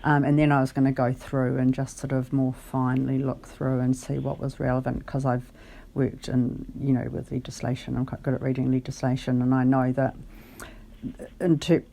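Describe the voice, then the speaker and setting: Creaky, even-toned New caller. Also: medium pitch. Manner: hesitant, halting, careful and articulate argument. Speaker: caller, benefit client. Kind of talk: phone conversation, explaining a case.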